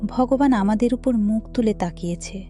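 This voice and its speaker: A woman playing a caring, devout farmer's wife in a cartoon fairy tale, soft and earnest. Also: fairly high pitch, normal speed, over music, natural voice. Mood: caring, hopeful.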